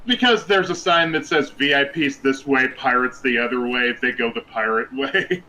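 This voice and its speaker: A man's voice: mid-range voice, lively intonation, moderate pace, bright, forceful tone, a little hiss or hum, poor audio. A man co-hosting a casual podcast, making crude jokes and laughing at his own lines. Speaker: podcast co-host. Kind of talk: casual chat.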